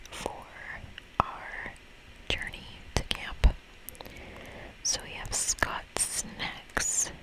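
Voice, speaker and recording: This is an ASMR host playing through a video game, speaking entirely in a whisper. The delivery is very slow and soft, with an unhurried, chatty feel. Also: rough recording.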